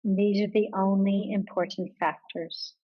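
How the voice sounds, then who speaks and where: Calm woman doing a guided meditation, average pitch, measured w pauses, kinda muffled lo-fi audio. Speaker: yoga nidra meditation guide.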